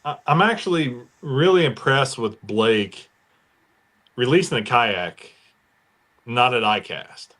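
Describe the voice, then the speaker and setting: A man's voice: medium pitch, animated, slow, long pauses, fairly bright tone. An American man talking casually and informally as a podcast co-host. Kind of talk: casual chat.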